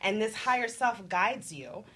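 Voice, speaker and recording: A woman on a talk panel explaining things casually and personally, with an excited manner. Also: medium pitch, a little slow, a little hiss or hum.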